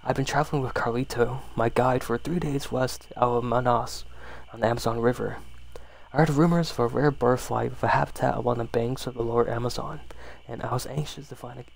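A man's voice: mid-range voice, fairly even intonation, a little fast, gravelly, slight background noise. A man reading a horror story aloud as an ASMR storyteller, sometimes stumbling and rereading phrases.

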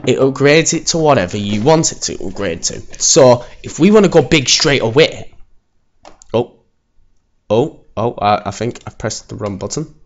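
A man with a croaky voice, casually commenting as he builds a city in a game, alone at home. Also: medium pitch, lively intonation, moderate pace, muffled recording. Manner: chatty, self-assured, talks while building. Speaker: gaming channel host. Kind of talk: casual let's-play commentary.

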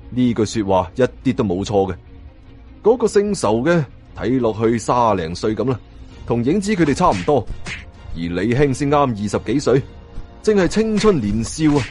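A man with a Hong Kong Cantonese accent narrating a story dramatically, acting out the dialogue as he goes. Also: mid-range voice. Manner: animated, acts out dialogue.

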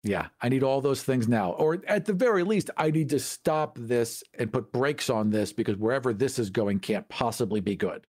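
A man's voice: medium pitch, expressive, moderate pace, few pauses, darkish tone, dry recording. Casual male podcast co-host, close dry sound. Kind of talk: casual chat about tabletop games.

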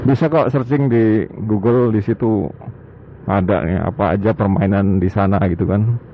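A man with a fairly low voice talks off the cuff as a motovlogger. He is casual and a bit halting, riding outdoors. The sound is dull and band-limited, with clear road and traffic noise. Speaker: motovlogger, host. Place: outdoors on a moving motorbike at night. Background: traffic and vehicle noise.

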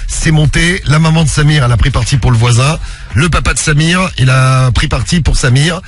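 A man's voice: on the high side, a little fast, slightly rough. A man hosting a late-night call-in radio show, chatting and teasing callers in a jokey way. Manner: jokey, teasing, puts on a mock Quebec accent. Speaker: radio host.

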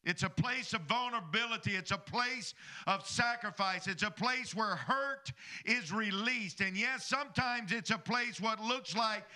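A man's voice: high-pitched, measured pace, sharp tone. A middle-aged man preaching a sermon as pastor from a church stage into a handheld microphone, calling on the congregation for amens. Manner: preaching with call-and-response asides to the congregation.

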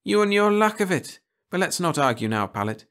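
Man as mocking alien in disguise, teasing, mischievous, higher pitch, sci-fi audiobook character. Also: big pitch swings, a little fast, a bit bright, exaggerated voice.

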